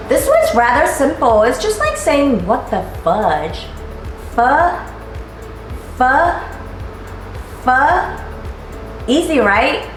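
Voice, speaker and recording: A woman, teaching a scripted language lesson at home in an upbeat, playful way and saying sounds slowly and clearly for learners to repeat. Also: high voice. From Taiwan. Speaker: Mandarin teacher. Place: living room at home. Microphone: camera at a distance, seated facing it. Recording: very echoey room, faint music.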